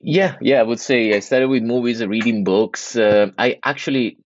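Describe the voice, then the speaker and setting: A man's voice: mid-range voice, normal speed, dry recording, highs cut off. A man in his late thirties from Italy with an Italian accent, speaking casually as an interview guest, hesitant with many restarts. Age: 30s.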